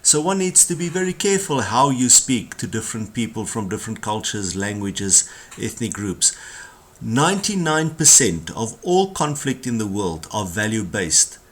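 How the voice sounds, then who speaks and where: A man's voice: lowish voice, sing-song, moderate pace. A man with a South African accent giving a communication skills tutorial, explaining ideas in a conversational way with emphatic asides. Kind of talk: tutorial lecture.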